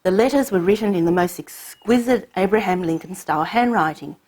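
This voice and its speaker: Husky woman narrating her family story. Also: lowish voice, a little mellow. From Hong Kong. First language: Cantonese. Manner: measured, reflective storytelling. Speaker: narrator of her own short film. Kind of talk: scripted personal narration.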